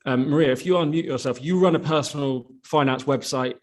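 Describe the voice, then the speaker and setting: A man hosting an online panel discussion over a video call, asking questions in a conversational, slightly halting way. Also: mid-range voice. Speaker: host, moderator.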